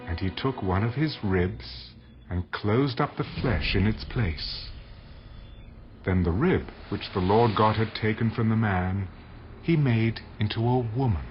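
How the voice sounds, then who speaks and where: A man narrating dramatized scripture in a solemn, measured manner. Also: on the low side. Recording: dull audio under loud music.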